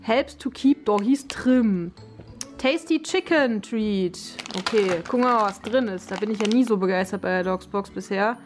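A woman's voice: mid-range voice, normal speed. A young woman, talking casually and thinking aloud as she reviews products in an unboxing vlog. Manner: chatty, colloquial, thinking aloud. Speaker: dog owner and vlogger presenting an unboxing. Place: living room, indoors. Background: music in the background.

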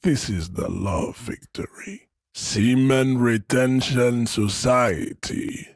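An actor playing an arrogant hyper-masculine strongman philosopher in a video game, lecturing with pompous, deliberate condescension. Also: medium pitch, creaky, slightly rough, no room sound, studio quality, full character voice. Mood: grandiose, lecturing. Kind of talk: video game character acting.

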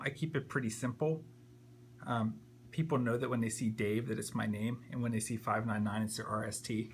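Male hobbyist demonstrator, mid-pitched with vocal fry, offhand unscripted delivery, faint room noise. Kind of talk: casual unscripted tutorial narration. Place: indoors at a computer desk. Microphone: handheld camera, a little off the screen. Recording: faint background hum and noise.

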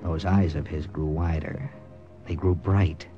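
A man's voice: extremely low pitch, fairly even intonation, a little slow, highs cut off. An actor playing a tough, terse wartime secret agent captain in a clipped, dramatic 1940s radio style, narrating his mission. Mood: tense, grim. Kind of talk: 1940s radio acting, hard-boiled first-person narration. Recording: old radio recording with music.

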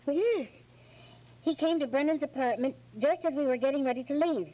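Woman acting earnest high-voiced lady, dull recording. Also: a little fast, close to an everyday voice. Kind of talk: 1940s radio drama acting. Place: hotel function room stage, indoors. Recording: dull lo-fi videotape of stage performance.

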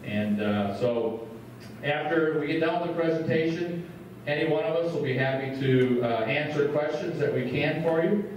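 A man speaks at a podium in a big school meeting room. His voice sits at an ordinary pitch and comes from some way off the mic. It rings with a strong echo and a faint hiss. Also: moderate pace, highs cut off. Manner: plain, steady, many filler words. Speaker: school superintendent. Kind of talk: public meeting address. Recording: roomy echo, distant from the mic.

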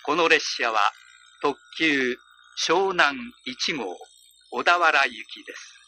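A man's voice: on the high side, bright, forceful tone, low-quality recording. A man reading a formal, polite scripted train announcement with precise enunciation over onboard PA speakers. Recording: train PA recording with hum and noise.

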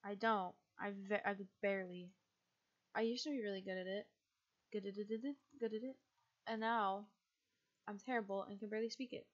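A young woman from the United States, chatting casually and rambling as she streams a game, with a husky, quiet voice and frequent laughs. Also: mid-range voice, fairly even intonation, a little fast, long pauses, lo-fi sound.

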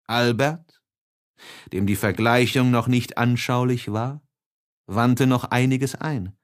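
A man narrating a classic novel as an audiobook, reading expressively with deliberate, dramatic phrasing. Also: medium pitch, fairly even intonation.

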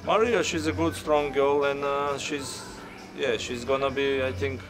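A man's voice: mid-range voice, steady tone. Male trainer, second-language speaker, hesitant and fragmented, music bed. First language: not English. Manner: halting, broken English, many fillers. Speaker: boxing trainer. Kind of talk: casual interview. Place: boxing gym, indoors.

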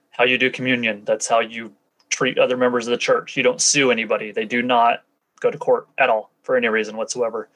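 A man's voice: medium pitch, a bit bright, rough recording. Matter-of-fact man explaining in conversation. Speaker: interview guest, former church member.

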